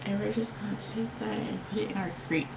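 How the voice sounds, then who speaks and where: A woman vlogger talks casually to her viewers in a playful, slangy way. Her voice is congested and a bit tired, sitting around a middle pitch with plenty of fry. Clear background noise runs through the recording. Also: steady tone, quick pace. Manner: playful, slangy, emphatic repetition. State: tired, under the weather with allergies. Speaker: beauty vlogger and makeup tutorial host. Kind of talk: casual chatty makeup tutorial to camera. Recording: clearly noisy home recording.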